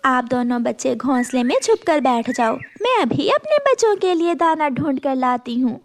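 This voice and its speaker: A woman's voice: high voice, big pitch swings, normal speed, slight background noise. A woman voicing a small mother sparrow in a children's cartoon, sweet and pleading but clever. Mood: sweet, hopeful.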